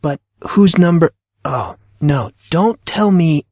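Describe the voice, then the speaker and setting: A man's voice: fairly high pitch, expressive, takes it slowly, a little mellow, no room sound, clean studio sound, pitched above the performer's own voice, heavily acted. A man voicing an awkward, self-doubting teenage boy in an audio drama, stammering and exasperated. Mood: flustered. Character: awkward, flustered, self-doubting fourteen-year-old high school boy. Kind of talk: audio drama character acting.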